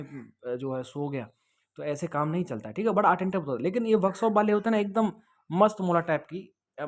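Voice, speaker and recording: A man answering viewers' questions in a casual, advising way, often checking that the listener follows. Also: fairly high pitch. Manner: conversational, advising, often checks with "okay?" and "understood?". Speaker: host answering viewers' questions about police jobs. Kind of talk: casual explanatory Q&A to camera.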